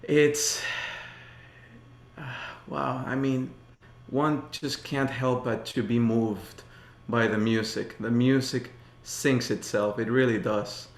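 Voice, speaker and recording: A man's voice: mid-range voice, fairly even intonation, slow pace. A man in his twenties from Ecuador, effusive and heartfelt in casual group conversation, in a roomy, echoey recording. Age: 20s. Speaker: baritone voice student, podcast guest.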